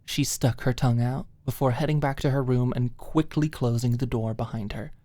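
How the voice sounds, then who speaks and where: Low-voiced woman narrating an audiobook. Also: steady tone, moderate pace, dark tone, dry recording. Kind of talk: expressive scripted story narration, ASMR audiobook.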